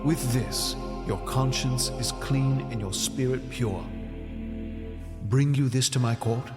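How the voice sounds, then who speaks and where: A man's voice: mid-range voice, natural voice. Man playing a noble medieval king over music. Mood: solemn. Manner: ceremonial, measured, regal. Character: noble, commanding medieval king, solemn yet kindly. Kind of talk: audio drama acting.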